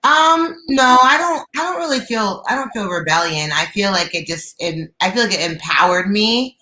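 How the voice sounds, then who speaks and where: A young woman with a low, raspy alto voice, a guest singer talking openly on a video call from her living room, with many fillers and restarts. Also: lively intonation, normal speed, sharp tone, echoey room, a little hiss or hum, poor audio. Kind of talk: casual interview chat. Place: bright living room at home.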